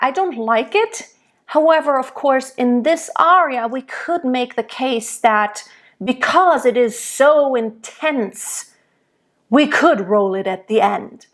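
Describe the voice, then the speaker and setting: A woman from Germany, a vocal coach explaining diction in a slow, deliberate lesson. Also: a little high, animated.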